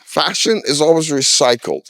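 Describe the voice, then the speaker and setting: A man's voice: fairly high pitch, expressive, moderate pace, fairly bright tone, no echo. A man in his sixties, originally from Trinidad and Tobago, giving candid, opinionated answers in a casual street interview, with lots of "you know.". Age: 60s.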